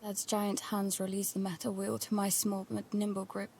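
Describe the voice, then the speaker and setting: A woman plays a protective, wary young girl narrating a scripted audio drama. Her delivery is hushed and intimate, often close to a whisper. The pitch stays fairly even with some creak, over faint background noise. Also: normal speed, close to an everyday voice. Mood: numb, reflective.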